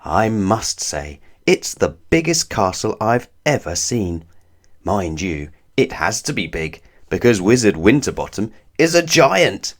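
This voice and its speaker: A man narrating a children's storybook in an expressive, scripted read-aloud style. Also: lowish voice, a little slow. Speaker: storybook reader.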